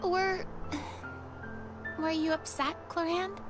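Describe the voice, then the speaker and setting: A woman playing a caring, curious young woman in a video game, with an expressive, inquisitive delivery. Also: very high voice, slow, over music. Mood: concerned. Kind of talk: video game character voice acting.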